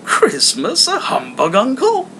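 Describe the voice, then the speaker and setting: A man voicing a cheerful, eager young gentleman in a storybook reading, exclaiming gaily, with noise behind him. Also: huge pitch swings, takes it slowly, full character voice. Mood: cheerful, hearty. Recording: clear background noise, low rumble.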